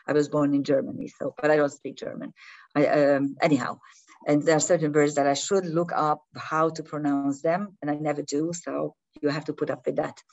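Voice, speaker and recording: A woman's voice: low voice, little pitch movement, brisk, darkish tone, dull audio. A woman speaking English as a later-learned language, giving an unscripted spiritual talk to viewers, often stopping, restarting and searching for words. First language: not English. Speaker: spiritual teacher.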